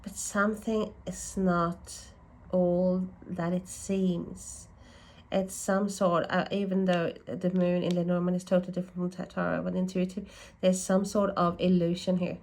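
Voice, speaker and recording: Slow-talking congested woman reading tarot. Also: on the low side, level tone, a little mellow. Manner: rambling, self-correcting, musing aloud. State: congested from a lingering cold. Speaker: tarot reader.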